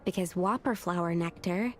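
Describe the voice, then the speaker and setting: A woman voicing a curious young female alchemist in a video game, explaining in a thoughtful, measured way. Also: on the low side, slight background noise, lightly acted.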